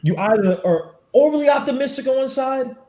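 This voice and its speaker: A man hosting a podcast, arguing his point in a fast, emphatic, animated way. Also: very high voice. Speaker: podcast host. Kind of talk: casual sports debate. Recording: echoey room.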